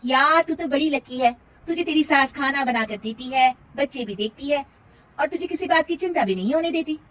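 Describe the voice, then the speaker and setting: A woman's voice: high-pitched, lively intonation, normal speed, fairly bright tone, voice pushed up. A woman voicing an envious young office friend in a cartoon, gossipy and emphatic.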